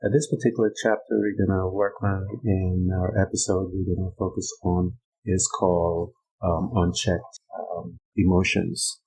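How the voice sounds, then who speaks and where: A middle-aged man from Guyana, hosting a personal-development podcast and speaking slowly and haltingly with many pauses. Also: low voice, little pitch movement, creaky, a little mellow, slightly rough, soft background music, low-quality recording. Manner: slow and halting, many ums and uhs. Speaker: podcast host. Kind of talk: reflective personal-development monologue. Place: United States.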